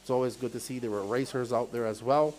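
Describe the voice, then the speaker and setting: A man's voice: mid-range voice, steady tone, fast, darkish tone. A man from Bermuda with a Bermudian accent, working as a race commentator and chatting casually with live-stream viewers. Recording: clear background noise, outdoor event ambience.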